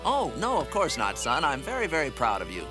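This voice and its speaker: A little cartoon hedgehog dad, low in pitch and full of exasperated, fretful grumbling. He is proud and easily flustered, his voice rising and falling a lot over background music. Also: wildly swooping pitch, a little fast. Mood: downcast, gentle. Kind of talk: animated cartoon voice acting. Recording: music behind the voice.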